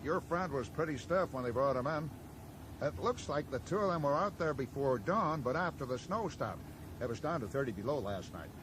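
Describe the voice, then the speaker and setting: A man playing a blunt, probing small-town American sheriff in a radio drama, speaking in a folksy, matter-of-fact way. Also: a little high. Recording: noisy 1980s radio drama broadcast.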